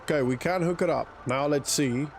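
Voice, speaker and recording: South african guy w a half-british accent, gravelly voice, just chatting through a game stream, faint hiss behind. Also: on the high side, brisk. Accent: mixed South African and British. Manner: chatty, thinks aloud, laughs easily, answers chat. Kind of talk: casual live gameplay commentary.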